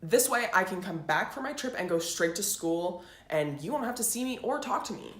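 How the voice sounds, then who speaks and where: A woman's voice: lowish voice, lively intonation, normal speed, close to an everyday voice. Woman as an intense, bitter teenage daughter, echoey room. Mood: hurt, resolute. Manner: intense, resentful, emphatic. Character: bitter eighteen-year-old daughter, determined to leave home. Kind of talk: dramatic stage monologue. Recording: roomy echo, faint background noise.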